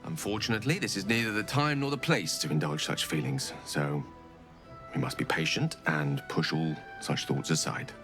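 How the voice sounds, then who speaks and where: A man's voice: a bass voice, moderate pace. An actor playing an eloquent, learned male wizard in a video game, speaking in articulate, tender, formal phrasing. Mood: flirtatious. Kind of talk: game voice acting. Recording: game audio with soft music behind.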